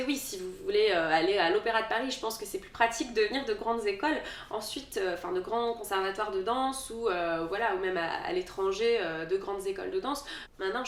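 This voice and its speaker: A woman's voice: mid-range voice, reverberant, far from the mic. A woman in her thirties answering viewer questions in a casual vlog, hesitant and full of fillers. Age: 30s. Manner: hesitant, many fillers and restarts. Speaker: dance vlogger.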